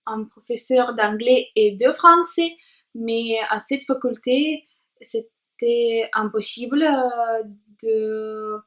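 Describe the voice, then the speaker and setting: Young woman, learner's vlog, slow and careful, slightly high voice. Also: a bit bright. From Latvia. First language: Russian. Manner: hesitant, many filler sounds while searching for words; careful, deliberate language-learner delivery. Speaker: vlogger. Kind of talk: self-introduction vlog.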